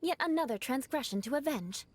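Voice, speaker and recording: A woman's voice: high-pitched, expressive, brisk. A woman playing a composed, haughty young noblewoman in a video game, speaking formally with a teasing, vengeful edge. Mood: amused. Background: music.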